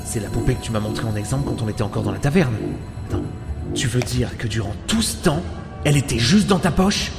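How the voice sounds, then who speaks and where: A man's voice: mid-range voice, animated, fast talker, gravelly. A man playing an impatient, sardonic loner adventurer in an audio adventure drama, grumbling his lines. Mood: puzzled, dry. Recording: loud music behind the voice.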